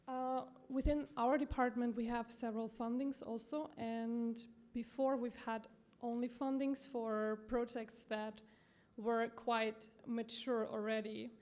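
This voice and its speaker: Woman, Austrian accent, slightly high voice, presenting at a forum, hesitant with fillers. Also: darkish tone. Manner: halting, many fillers and self-corrections. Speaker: conference speaker from a promotional bank. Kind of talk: conference presentation.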